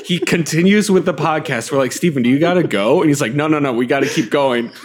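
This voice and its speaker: A man's voice: a little high, fast. A man co-hosting a podcast, joking and laughing through his lines. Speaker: podcast co-host. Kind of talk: casual podcast chat.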